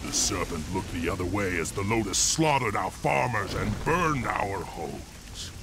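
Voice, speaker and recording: A man playing a brooding, resolute warrior heir of an enslaved clan in a video game, speaking gravely and deliberately. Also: sits low, expressive, background noise. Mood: grim, vengeful. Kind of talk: dramatic video game cutscene acting.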